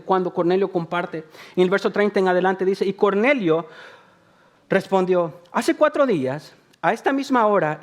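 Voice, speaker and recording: A man from El Salvador preaching a sermon, talking to the congregation in a conversational way, repeating phrases for emphasis and joking about himself. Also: sits high. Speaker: pastor.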